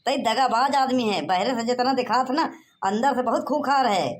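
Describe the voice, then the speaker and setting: A man's voice: very high voice, normal speed. A man voicing a blustering village husband in a cartoon, in a rustic Awadhi accent from eastern Uttar Pradesh. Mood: angry, accusing. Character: blustering village husband searching for his lost goat. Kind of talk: cartoon dialogue in rustic dialect.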